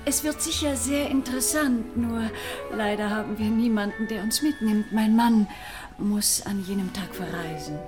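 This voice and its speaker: An actress playing a polite, demure society matron in a radio play, speaking a little hesitantly. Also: female voice, a little high, normal speed, music in the background, close to an everyday voice. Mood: polite, tentative. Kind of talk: German radio play acting.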